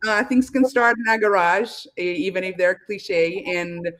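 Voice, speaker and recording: A woman from Argentina with an Argentine accent, a guest telling her career story in a casual interview, lively and laughing between phrases. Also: mid-range voice, moderate pace. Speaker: guest, space company founder.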